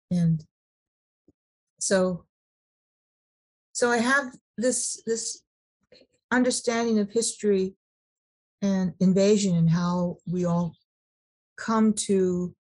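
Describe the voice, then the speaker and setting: A middle-aged woman from California sharing her personal story at a recovery meeting, halting, with many restarts and repeated words. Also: on the low side, darkish tone, poor audio. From Sacramento, California.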